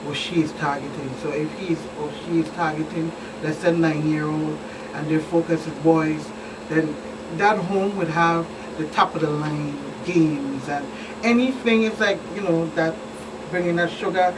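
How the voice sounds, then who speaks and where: A woman's voice: sits low, normal speed. A middle-aged woman with a Virgin Islands Caribbean accent, explaining things as a police expert guest in a talk show interview. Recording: distant mic, clearly noisy background.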